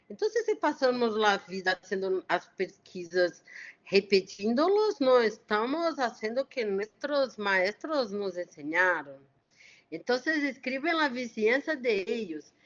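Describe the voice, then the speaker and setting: A woman with a Portuguese-tinged Porteño accent speaks slowly and haltingly, feeling for each word. She talks as a guest lecturer in an academic discussion. The audio is dull and lo-fi. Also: a little high. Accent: Porteño-influenced Spanish with Portuguese mix. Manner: halting, searching for words.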